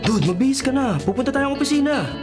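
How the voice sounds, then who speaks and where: A man's voice: extremely high pitch, expressive, fast talker, loud music behind the voice. A man playing a flustered, lovestruck young son in a Filipino radio drama, complaining in a Filipino accent. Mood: exasperated. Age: young adult.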